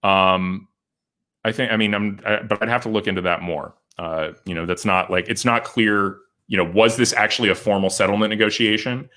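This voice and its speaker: Bright-voiced man livestreaming legal commentary. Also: fairly low pitch, steady tone. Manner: halting, many restarts and fillers, thinking aloud. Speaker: lawyer and show host.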